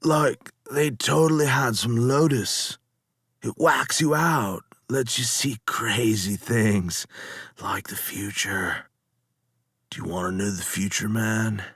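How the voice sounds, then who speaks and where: A man's voice: fairly low pitch, slow pace, a bit bright, breathy edge, no echo, clean studio sound, exaggerated voice. A man voicing a blissed-out, dopey stoner in a story, with slurred, slangy, spaced-out drawling. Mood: spaced-out friendly. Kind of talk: acted storytelling.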